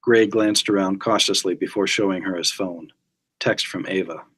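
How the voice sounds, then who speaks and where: A man's voice: deep voice, normal speed, fairly bright tone, husky. A man reading scripted story narration aloud. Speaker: guest reader.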